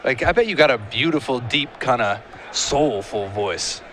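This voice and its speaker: A man's voice: mid-range voice, expressive, moderate pace, a bit bright, slight background noise. A man doing live game commentary, casual and joking, chatting with his co-host between plays. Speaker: color commentator. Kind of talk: live tournament commentary with banter.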